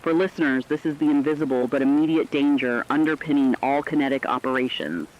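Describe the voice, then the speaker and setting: A man's voice: on the high side, quick pace, highs cut off, lightly acted. A man playing a serious radio war analyst, giving scripted emergency news commentary in a formal, emphatic way. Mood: serious, urgent.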